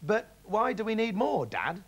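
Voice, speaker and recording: A man's voice: sits high, big pitch swings, a little slow, husky, pitched above the performer's own voice, exaggerated voice. A man voicing a sensible, cautious young boy who asks earnest questions.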